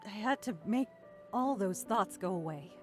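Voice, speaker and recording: A woman voicing an elegant older sister figure who speaks softly and affectionately. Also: medium pitch, animated, normal speed, music in the background, lightly acted. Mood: pained. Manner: soft, affectionate, calls others darling. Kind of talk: visual novel voice acting.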